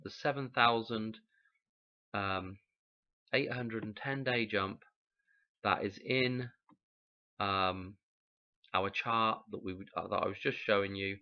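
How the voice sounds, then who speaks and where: A man, croaky from a cold, rambling through a casual explanation and often checking in with "okay?". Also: lowish voice, muffled recording. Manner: rambling, musing, frequent "okay?" check-ins. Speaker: channel host explaining number codes.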